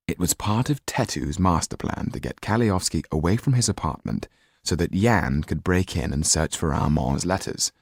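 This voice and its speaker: Man, audiobook narration, deep, measured, dry studio sound. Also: no room sound. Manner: measured storytelling. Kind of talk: scripted literary narration.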